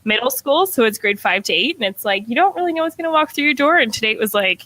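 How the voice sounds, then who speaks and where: A woman's voice: a little high, expressive, quick pace, bright, forceful tone, poor audio. A young woman in her twenties, a middle school teacher, chatting as a podcast guest, with lots of "like" and "um" and easy laughter. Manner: chatty, fills talk with "like" and "um", laughs often. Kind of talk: casual interview chat.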